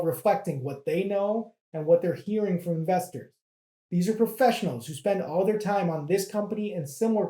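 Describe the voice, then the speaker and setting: A presenter with a soft, mellow tone explains things step by step in a measured, plain-spoken manner. The pitch sits in a middle range. The sound is noticeably roomy, with echo around the voice. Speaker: presenter of an investing guide. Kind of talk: explanatory educational narration.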